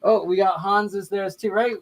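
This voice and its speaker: A male host with a fairly high voice talks in long, winding sentences that keep circling back, as if thinking aloud on a livestream. The sound is dry and close, with almost no room around it. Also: fairly even intonation, normal speed, no echo, rough recording. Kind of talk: livestream discussion. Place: lives in Calgary.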